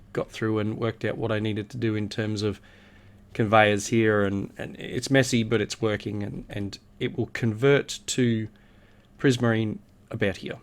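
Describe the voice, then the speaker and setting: A man who is unwell, husky and a little nasal, giving a casual video game walkthrough as host and thinking aloud as he goes. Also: mid-range voice. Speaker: host of a gaming series.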